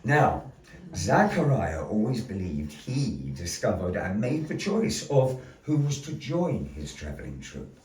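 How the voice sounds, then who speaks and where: A man hosting a live show as storyteller, with grandiose, deliberate phrasing and playful asides to the audience. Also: fairly low pitch, very animated. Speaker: storyteller and master of ceremonies. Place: indoor gallery. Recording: roomy echo, voice distant from mic.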